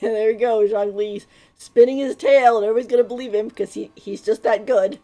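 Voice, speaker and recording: High-voiced, gushing woman game streamer. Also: fairly even intonation, a little fast, mellow, soft background music. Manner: gushing, informal. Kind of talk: casual live game commentary.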